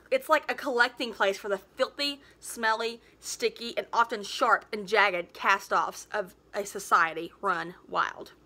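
A woman in her thirties reading a story aloud like a teacher, with emphatic, expressive delivery. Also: fairly high pitch. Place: living room at home, indoors.

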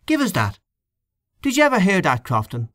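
A man voicing a loud, blustering canvasser who argues with forceful emphasis, in an audiobook reading. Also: high voice, huge pitch swings, normal speed, no room sound, voice pushed up, exaggerated voice. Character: blustering middle-aged Irish political canvasser. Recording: dry close studio read.

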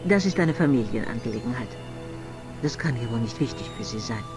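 A man playing a proud, stern elderly aristocrat in an adventure game, speaking in a formal, haughty, measured way. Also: medium pitch, expressive, over music, lightly acted. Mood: guarded, haughty. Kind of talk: adventure game voice acting, German dub.

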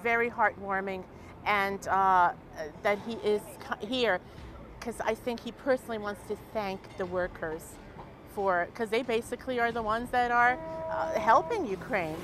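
A middle-aged woman interviewed outdoors by a city street, speaking haltingly with many fillers into a handheld mic. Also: mid-range voice, noisy background. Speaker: supporter at a street rally. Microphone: handheld reporter mic.

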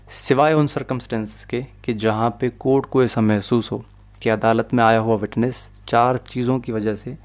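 A man's voice: mid-range voice, a little mellow. A man explaining a law article as a video lecturer, switching between English and his own language as he teaches. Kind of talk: lecture over animated slides.

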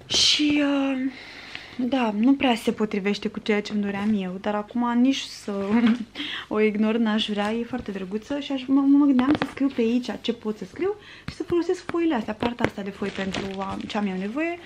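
A woman's voice: fairly high pitch, noisy background. A woman in her twenties from Romania, a vlogger chatting to camera in a casual, rambling, conversational way. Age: 20s. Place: small furnished room at home, indoors.

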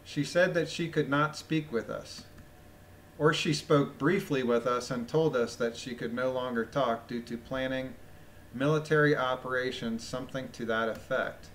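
A man with a phlegmy voice reading a document aloud with casual, incredulous, mocking commentary, often clearing his throat. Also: medium pitch, fairly even intonation, moderate pace, a bit bright, slight background noise. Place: voice-over of a screen recording.

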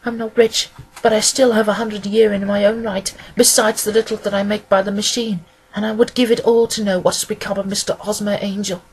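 A reader puts on a good-humoured young woman in a classic storybook. She is nervous and deferential, rambling earnestly through her account. Her voice is slightly husky and lightly pitched. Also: flat delivery, brisk, rough recording. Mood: pleading, earnest. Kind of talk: acted audiobook dialogue.

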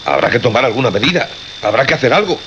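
An actor playing a nervous, insistent radio colleague, urgent and pressing, in a suspense drama. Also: male voice, mid-range voice, very animated, quick pace, natural voice. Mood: anxious, urgent. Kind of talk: Spanish radio drama acting. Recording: dull, noisy radio recording.

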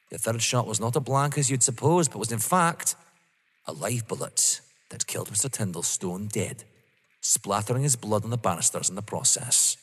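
An actor playing a methodical, smugly polite police inspector in an audio drama, formal and insinuating. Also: male voice, lowish voice, breathy edge. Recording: long hall-like reverb.

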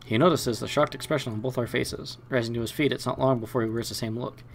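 Creaky-voiced man hosting a let's play. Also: mid-range voice, steady tone, fast talker, a little hiss or hum. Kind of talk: reading game narration aloud with casual commentary.

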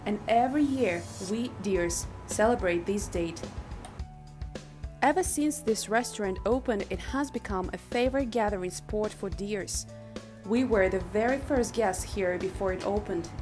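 A woman of middling pitch gives an explanatory interview for a feature. Music is clearly heard behind her. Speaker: interviewed fan club member.

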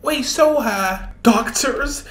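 Woman acting high, cryptic town informant. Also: extremely slow, a bit bright. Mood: knowing. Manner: knowing, cryptic. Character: cryptic, all-seeing town informant, curtly dismissive. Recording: echoey room.

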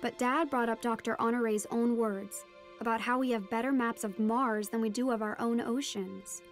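A teenage girl voiced by an actress recounts her adventure in an audio drama. Her voice is fairly high and her storytelling measured, over a bed of music. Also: steady tone, lightly acted. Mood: recounting. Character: teenage daughter recounting a deep-sea adventure. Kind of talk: first-person scripted narration. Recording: music behind the voice.

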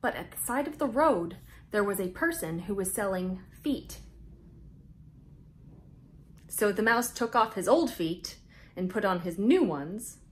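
A woman in her thirties reads a picture book aloud to young children as host, with expressive, drawn-out emphasis, recorded indoors on a camera mic. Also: medium pitch, slight background noise.

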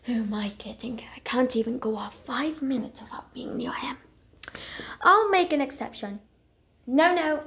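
Casting: woman as young female cartoon dragon cat princess, high voice, slow dramatic delivery, proud but earnest. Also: bright, forceful tone, heavily acted. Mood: whispered, defeated, then exasperated. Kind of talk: character voice lines, amateur cartoon acting.

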